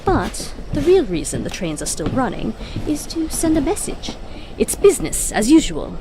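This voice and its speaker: A woman's voice: on the high side, huge pitch swings, normal speed, background noise. A woman playing an eccentric, breezy, brainy time-travelling Doctor in an audio drama, chatty and whimsical, with quaint turns of phrase. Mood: explaining.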